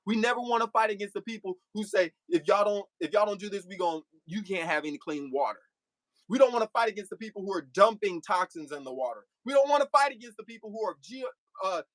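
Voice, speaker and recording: A man's voice: high voice, dry recording, low-quality recording. A man giving an impassioned, preachy livestream monologue to his viewers, full of rhetorical questions and repeated phrases. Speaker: streamer addressing live chat.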